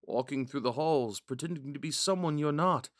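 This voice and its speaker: A man playing a kind, shy young prince in a romantic ASMR roleplay, speaking gently and hesitantly. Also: a little high, moderate pace, no room sound, studio quality, close to an everyday voice. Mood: gentle, curious. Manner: soft-spoken, hesitant, stammering when flustered. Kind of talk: ASMR roleplay, scripted monologue to a listener.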